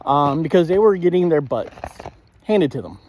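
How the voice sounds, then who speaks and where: A man giving a casual spoken episode review in a halting way, often clearing his throat. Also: a little high, very animated, darkish tone, slight background noise, rough recording.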